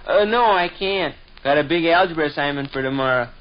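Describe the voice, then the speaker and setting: An actor playing an earnest, patient American schoolboy nephew in a chummy 1940s radio comedy style. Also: male voice, a little high, a little fast, natural voice. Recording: dull vintage 1940s radio recording.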